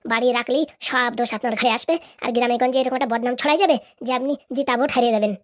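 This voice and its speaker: A woman plays a cunning, boastful henchwoman in a comic cartoon. She speaks high and extremely fast. Her manner is assertive and conspiratorial, as she soothes her boss like a fixer. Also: fairly even intonation, natural voice. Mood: calculating. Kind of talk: comic cartoon drama acting.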